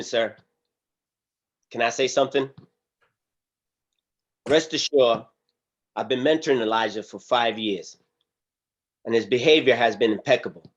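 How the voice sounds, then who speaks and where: An earnest, respectful mentor read by a man speaks up politely in a drama script. The mid-pitched voice comes through a dull, lo-fi video call. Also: natural voice. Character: respectful, supportive male mentor of a prisoner. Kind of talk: script table read acting.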